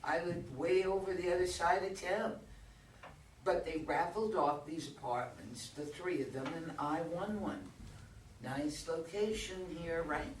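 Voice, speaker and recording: A woman's voice: lowish voice, measured pace, close to an everyday voice. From across an echoey room comes a woman playing a poor woman tenant in a play reading. She takes her time, wry and insistent, needling and coaxing, with faint noise around her. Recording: distant roomy live recording, background noise.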